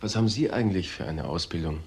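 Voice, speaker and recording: An actor playing a blunt male police detective in a crime drama, husky and pointed in his questioning. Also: low-pitched, fast, darkish tone, heavily acted. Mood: matter-of-fact. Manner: pointed, direct. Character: blunt, accusing junior police detective, man.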